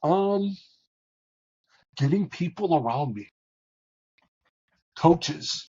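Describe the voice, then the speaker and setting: A middle-aged man with a big booming voice, talking candidly as an interview guest, with many restarts and fillers. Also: lively intonation, very slow, dark tone, husky, muffled recording. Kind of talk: reflective conversational interview.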